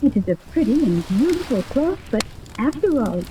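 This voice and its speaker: A woman's voice: fairly high pitch, very animated, normal speed. An actress playing an earnest, formal young captive woman in a noisy 1930s radio serial. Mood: earnest, explanatory. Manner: formal, precise, explanatory. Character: Joan, earnest captive daughter on a strange island.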